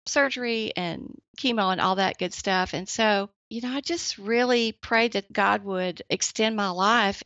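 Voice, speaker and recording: A woman in her seventies, a writer and editor, chatting as a podcast guest with a youthful, girlish-sounding voice, gentle and quick to laugh. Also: mid-range voice, no echo. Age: 70s. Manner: soft-spoken, laughs often, gentle. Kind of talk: casual interview chat. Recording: dry, slightly dull lo-fi sound.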